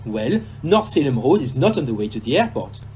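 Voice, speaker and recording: An actor playing a courteous, shrewd foreign detective in an audio play, speaking formally with French exclamations. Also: male voice, medium pitch, very animated, a little fast, darkish tone, faint music behind, lightly acted. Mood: confident, shrewd.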